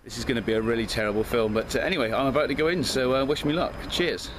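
A man's voice: mid-range voice, very fast, noisy background. A man, chatting to a handheld camera at a beer festival, a little drunk and full of ums and restarts. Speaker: beer vlogger. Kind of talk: casual rambling vlog to camera. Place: beer festival and pub, outdoors and indoors. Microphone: handheld camera at arm's length. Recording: loud crowd chatter behind.

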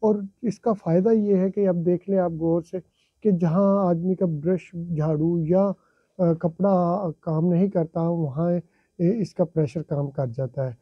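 A middle-aged man with a husky, slightly high voice, chatting politely to viewers as he explains each step of washing a car. He is in a home garage, with the sound dry and close. The phone recording is muffled and lo-fi. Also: a little slow, no echo. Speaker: vlogger. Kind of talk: casual how-to narration.

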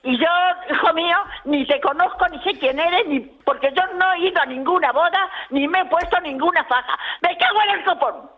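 Heard over a narrow, tinny phone line, an older woman with a Spanish accent speaks in a high voice. She is blunt and exasperated, repeating words in an unscripted conversation. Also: moderate pace, few pauses. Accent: Spain. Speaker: prank call recipient.

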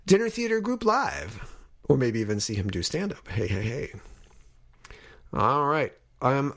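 A man hosts a podcast in an informal, conversational way. His voice sits at an average pitch for a man. He speaks encouragingly, as if chatting directly to his listeners. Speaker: podcast host. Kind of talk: casual podcast talk.

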